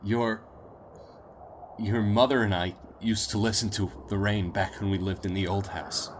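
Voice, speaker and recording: A man playing a grave, tender, protective father in a post-apocalyptic audio drama, speaking deliberately and wearily. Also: on the low side, fairly even intonation, slight background noise, lightly acted. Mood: tender, wistful. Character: weary, protective father in a ruined post-war world.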